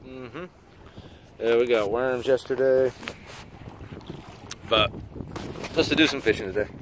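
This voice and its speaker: A man's voice: mid-range voice, takes it slowly. A man in his thirties chatting casually to a phone held at arm's length outdoors, in an easygoing, folksy way. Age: 30s. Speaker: vlogger. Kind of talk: casual vlog chat. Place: outdoors on a waterfront pier and marina. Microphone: handheld phone at arm's length. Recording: noisy outdoor phone audio, wind and water.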